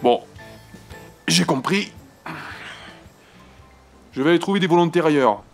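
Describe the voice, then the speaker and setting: A man's voice: fairly high pitch, animated, unhurried, gravelly, soft background music. A man playing a frustrated young French First World War corporal, blunt and argumentative. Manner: blunt, exasperated, argues hard. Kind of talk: amateur comedy audio drama.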